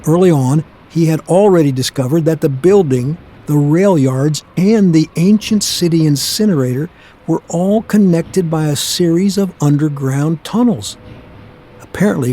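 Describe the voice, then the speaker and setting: A man narrating a scripted radio play in a measured storytelling manner. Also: fairly high pitch, mellow, slight background noise.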